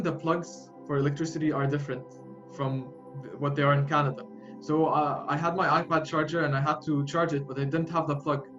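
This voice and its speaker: A young man in his twenties speaks with a Jordanian Arabic accent, his voice set a little high for a man. He tells his story haltingly, with many fillers and restarts. The sound is muffled and lo-fi, with clear music playing underneath. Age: 20s. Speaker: interviewee. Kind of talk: personal storytelling.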